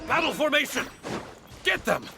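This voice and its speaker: An actor playing a battle-ready enemy soldier calling out combat lines in a video game. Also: male voice, very high-pitched, huge pitch swings, normal speed, gravelly, exaggerated voice. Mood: aggressive. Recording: loud music and crashing behind.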